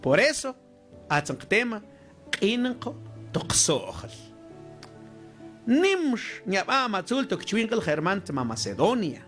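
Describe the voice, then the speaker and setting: A male preacher, a Mam speaker, delivers an exhorting scripture sermon at a slow pace. His pitch moves in lively swings as he addresses the congregation. Music plays behind his voice. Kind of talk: sermon on scripture, mixing Mayan language and Spanish.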